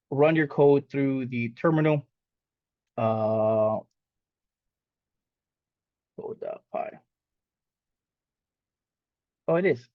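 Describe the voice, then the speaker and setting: A man's voice: mid-range voice, no echo. A man born in Mexico, a native Spanish speaker, casually leading a live coding lesson with lots of fillers and restarts. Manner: casual, rambling with many fillers. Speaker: coding instructor. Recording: dull lo-fi sound.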